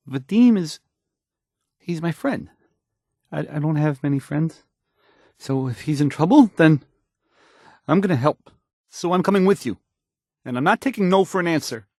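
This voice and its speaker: Super awkward shy young radio host from a game, stammers and second-guesses everything, dry studio sound. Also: male voice, fairly high pitch, a little mellow, no echo, full character voice. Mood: anxious resolve. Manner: stammering, hesitant, self-doubting, trailing off. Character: painfully shy, nervous, awkward male radio DJ. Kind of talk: video game character acting.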